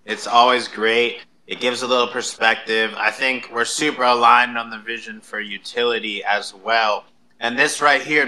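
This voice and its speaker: A man's voice: medium pitch, pushed and bright, low-quality recording. A man hosting a live audio chat in a casual, informal way. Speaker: host.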